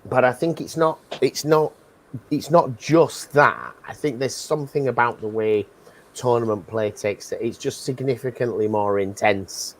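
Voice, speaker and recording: A man's voice: mid-range voice, moderate pace, poor audio. A British man speaking as a livestream guest in casual chat, blunt but reflective as he reasons things through.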